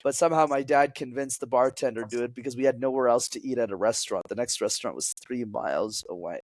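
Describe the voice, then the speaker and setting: A young man with French as his first language talks through his points as a public speaking coach, lively and teacherly. His voice sits at an ordinary middle pitch. It is recorded close and dry, with no room echo. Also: fast talker. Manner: energetic, explanatory, teacherly. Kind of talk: podcast interview guest.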